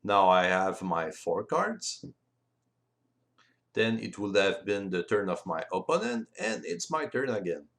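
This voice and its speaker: Slow, lilting Frenchman explaining a game. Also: on the low side, big pitch swings, gravelly, a bit bright. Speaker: board game rules presenter. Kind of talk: explanatory walkthrough of game play.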